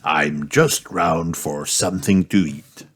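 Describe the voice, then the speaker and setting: Man as a low-voiced courteous hungry wolf. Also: takes it slowly, breathy edge, full character voice. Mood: courteous, sly. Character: Mister Wolf, hungry, courteous big male wolf. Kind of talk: children's storybook character voice.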